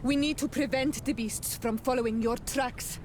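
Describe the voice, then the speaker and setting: A woman's voice: high voice, steady tone, moderate pace, natural voice. A woman playing a proud, loyal young island clan leader in a video game, solemn and resolute. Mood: urgent resolve. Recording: clear outdoor ambient noise behind.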